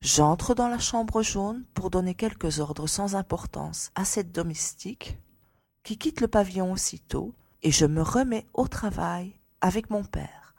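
A woman playing a composed young lady, a scientist's daughter, giving formal, precise testimony in an audiobook drama, slightly husky. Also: medium pitch, lively intonation, measured pace, darkish tone, no echo. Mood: composed, reserved. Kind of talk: audiobook character acting.

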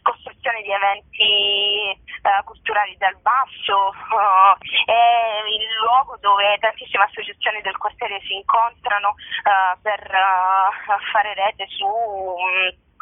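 A woman speaks over a tinny phone line. She pauses often and fills the gaps with hesitant sounds. The line has a dry, close sound with no room echo. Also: mid-range voice, little pitch movement. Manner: hesitant, many filler sounds. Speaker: guest presenting a theatre festival. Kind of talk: phone-in radio interview. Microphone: telephone.

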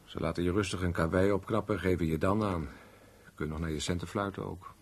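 A man's voice: sits low, steady tone, fast, slight background noise. An actor playing a sullen, grumbling middle-aged tradesman husband in a naturalistic radio play, aggrieved and plain-spoken. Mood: sullen, bitter. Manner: grumbling, plain colloquial speech.

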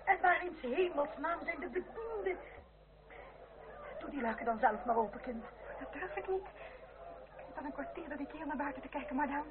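A woman's voice: high voice, lively intonation, moderate pace, pauses often. An actress playing an anxious aristocratic household woman in a period radio drama, fretful and exclamatory. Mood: fretful, nervous. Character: anxious aristocratic madame and her frightened young female companion. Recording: old narrow-band radio play, noisy crowd behind.

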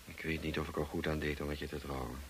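An actor playing a moody, brusque gentleman husband in a radio drama. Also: very deep voice, level tone, fast talker. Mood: regretful, tender. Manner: curt, brusque. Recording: hissy radio drama recording.

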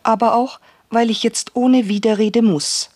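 An actress playing an embittered, hard-working housewife who was once a maid, in a breathy, husky voice for a radio play. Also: female voice, a little high, fairly even intonation, measured pace, darkish tone. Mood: resentful, resolute.

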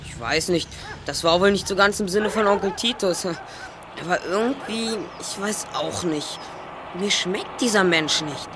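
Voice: medium pitch, measured pace, background noise, lightly acted. A young performer playing a self-assured teenage boy detective in a German audio drama, polite, articulate and slightly stilted. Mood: hesitant.

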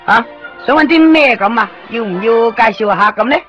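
A man acting an eager, chatty toy shop owner in a radio drama, rambling excitedly in Hong Kong Cantonese. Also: extremely high pitch, a little fast, muffled recording. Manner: excitable, rambling, fond of showing off toys. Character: eager, chatty male second-hand toy shop owner, kind to customers. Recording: band-limited 1990s radio recording with faint music.

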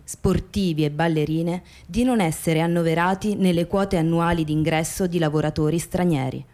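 Mellow-voiced woman narrator, formal. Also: lowish voice. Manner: measured, formal reading. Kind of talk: scripted documentary narration in radio theatre.

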